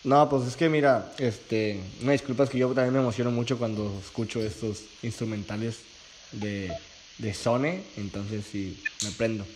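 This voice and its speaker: A man's voice: medium pitch, fairly even intonation, moderate pace, noisy background. A young man with a Mexican accent talking casually as the caller in a prank phone conversation, recorded in a small room from a few steps away. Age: young adult. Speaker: prank caller, video host. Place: small bedroom, indoors.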